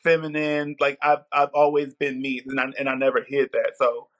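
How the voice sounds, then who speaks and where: A man from the Midwest, in his thirties, telling his story as an interview guest in an outspoken, animated way. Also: mid-range voice, moderate pace. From Milwaukee, Wisconsin. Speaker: guest, TV producer. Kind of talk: casual interview talk.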